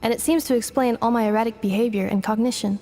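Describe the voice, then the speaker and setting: A woman voicing an earnest, composed robot girl in a video game, with measured, formal, precise speech. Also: fairly high pitch, fairly even intonation, dark tone, soft background music, lightly acted. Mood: earnest, hopeful.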